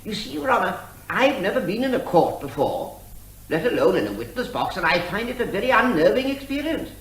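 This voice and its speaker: A man's voice: sits high, big pitch swings, a little fast, a bit bright, roomy sound, full character voice, poor audio. An actor playing a garrulous, plaintive everyman in the witness box, fussy and nervous in manner. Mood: flustered, plaintive. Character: flustered, garrulous, plaintive ordinary man as court witness. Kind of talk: comic courtroom drama.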